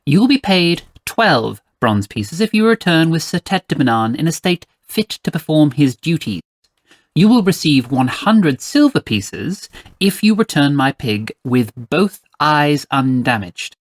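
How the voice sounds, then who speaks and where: A man's voice: a little high, voice pushed up. A man voicing a haughty, stingy queen with an imperious, clipped way of bargaining, in a storybook reading. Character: haughty, stingy, commanding queen, mother of a child.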